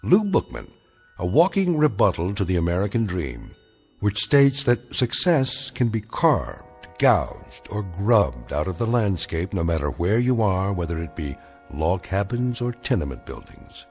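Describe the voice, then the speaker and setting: An actor playing a grave, omniscient narrator of uncanny radio tales, speaking in clipped, portentous, measured phrases. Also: male voice, low-pitched, sing-song, a little mellow, heavily acted. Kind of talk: dramatic scripted radio narration. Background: music.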